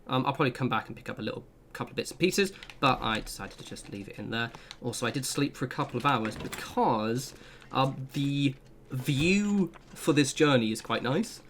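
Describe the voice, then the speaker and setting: A young man walks through a game route in a loose, chatty way, drifting into self-deprecating side remarks. His voice sits at an ordinary middle pitch, with a faint hiss under it. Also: expressive, normal speed, fairly bright tone. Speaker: gaming channel host. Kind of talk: casual gameplay guide commentary.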